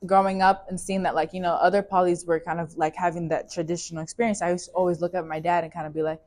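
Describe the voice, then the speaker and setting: A young woman from California speaks in a low, fairly flat voice. She talks at an easy pace and leans on casual fillers as she tells her story in an interview. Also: quick pace. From California, USA. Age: young adult. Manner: relaxed, with many fillers like "like" and "you know".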